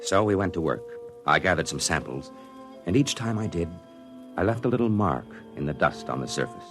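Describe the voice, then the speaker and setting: An actor playing a thoughtful male astronaut who narrates his moon landing in a 1950s radio drama, earnest and halting. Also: sits low, expressive, music in the background. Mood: wistful. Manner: earnest, reflective, halting with small stammers. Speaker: narrator and crewman.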